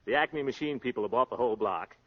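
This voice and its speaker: An actor playing a boastful, breezy American husband, a glib, slangy schemer in a 1930s radio comedy-drama. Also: male voice, medium pitch, fast talker, close to an everyday voice. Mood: breezy, cocky. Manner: glib, pompous, peppered with slang and nicknames. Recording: dull lo-fi old radio recording.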